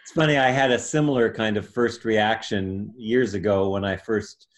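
A man hosting an interview, speaking in a relaxed, reflective conversational way. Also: on the low side, normal speed. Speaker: host.

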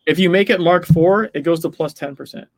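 A man's voice: fairly high pitch, fairly quick, dry recording. A man talking casually in a gaming discussion, explaining things with hedging asides.

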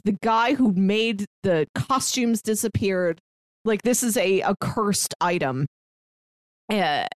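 A woman co-hosting a podcast in casual chat, retelling stories in an animated, acted-out way. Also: medium pitch, dry recording, studio quality. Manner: animated storyteller who acts out quoted dialogue. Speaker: podcast co-host.

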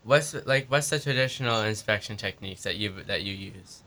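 A man's voice: on the low side, brisk, pushed and bright. A man hosting a radio interview, asking short questions and giving brief prompts.

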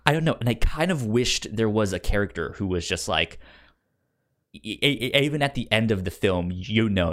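A man co-hosting a film podcast, talking casually in a halting way, often repeating syllables as he finds his words. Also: fairly low pitch.

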